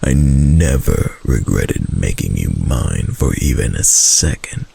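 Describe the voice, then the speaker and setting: A doting, possessive fox-spirit husband acted by a man murmurs in an intimate ASMR roleplay. His voice is extremely deep and heavily gravelled, often slipping into a whisper. He speaks slowly and softly, lingering tenderly over his words. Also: lively intonation, heavily acted. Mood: adoring, soothing. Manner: slow, soft, adoring murmur with lingering pauses.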